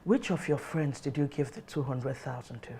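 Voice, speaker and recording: A woman's voice: fairly high pitch, lively intonation, fairly quick, slight background noise, natural voice. An actress playing a suspicious, protective middle-aged mother in a film drama, with a Nigerian accent and a scolding, probing manner. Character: suspicious, strict, protective mother of a grown son.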